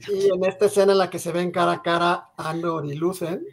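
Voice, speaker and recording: A man with a gravelly, slightly high voice talking on a video call with other fans. He explains things at length and walks through scenes one by one. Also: little pitch movement, a little fast, slight background noise. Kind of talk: casual fan chat over video call.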